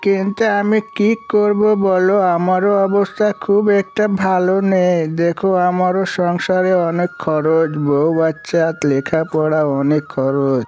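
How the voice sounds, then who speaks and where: A woman's voice: fairly low pitch, fairly even intonation, a little slow, few pauses, a little mellow, slight background noise, close to an everyday voice. A woman as a reasonable village man. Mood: apologetic. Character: cautious village man, apologetic and reasonable friend. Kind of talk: cartoon voice acting. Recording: music behind the voice.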